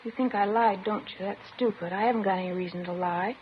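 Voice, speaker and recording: A woman's voice: medium pitch, steady tone, fast, natural voice. A woman playing a sullen, defensive schoolgirl in a 1950s radio crime drama, petulant and emotionally detached. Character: sullen, defensive, childlike schoolgirl confessing to police. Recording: muffled, noisy old 1950s radio recording.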